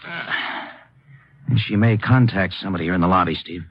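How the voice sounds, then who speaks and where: An actor playing a loyal, watchful agent who assists the hero in an old radio detective drama, with a husky voice. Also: male voice, sits low, a little fast. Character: loyal, watchful male agent, hero's sidekick. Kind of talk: 1950s radio detective acting. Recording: muffled old radio recording.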